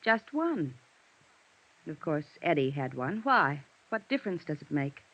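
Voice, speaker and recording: An actress playing a guarded, strained widow under suspicion in a vintage radio detective drama. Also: female voice, deep voice, sing-song, measured pace, a bit bright, exaggerated voice. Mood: wary. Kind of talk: 1950s radio drama acting. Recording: dull vintage radio recording.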